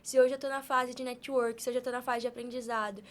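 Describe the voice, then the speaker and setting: A woman's voice: on the high side, little pitch movement, quick pace, a bit bright. A Brazilian woman talking conversationally as a podcast guest, with an earnest, emphatic, colloquial manner about her. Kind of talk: conversational faith-based motivational talk.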